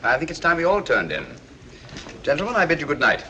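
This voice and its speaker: An actor playing a shrewd, composed detective in a 1940s film, speaking with a British accent in a measured, courteous way. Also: male voice, a little high, wildly swooping pitch, pushed and bright, lo-fi sound, heavily acted. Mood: polite, composed. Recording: noisy old 1940s film soundtrack.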